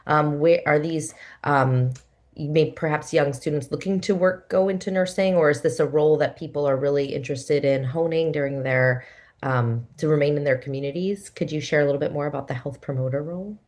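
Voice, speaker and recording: A woman's voice: low-pitched, a little fast, a little mellow. A woman moderating a webinar, enthusiastic, asking questions with many fillers.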